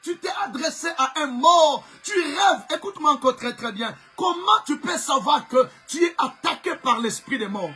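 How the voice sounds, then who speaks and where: A male Congolese pastor whose first language is Chokwe preaches in French with a strong accent. His voice is strikingly high and sharp, and he keeps shouting and repeating lines for emphasis. Soft music plays faintly behind him. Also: expressive, normal speed, hardly any pauses. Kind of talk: live-streamed preaching sermon.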